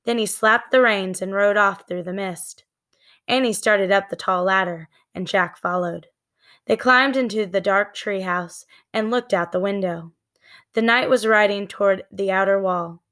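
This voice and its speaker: Slow-paced woman reading a children's story. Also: mid-range voice, a bit bright. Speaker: read-aloud storyteller. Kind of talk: scripted children's book narration.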